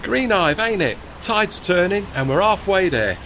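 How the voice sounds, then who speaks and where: A man's voice: sits high, sing-song, measured pace, sharp tone, exaggerated voice. Man as working-class tugboat, radio play, cheeky, proud, folksy, bouncy intonation, slight background noise. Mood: weary grumbling. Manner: colloquial, folksy, non-standard grammar. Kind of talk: audio drama acting.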